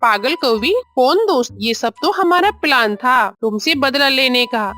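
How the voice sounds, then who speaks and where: A woman voicing a sly male crow in a children's story, coaxing and flattering as he schemes. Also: sits high, unhurried, soft background music, heavily acted. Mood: mocking, gloating.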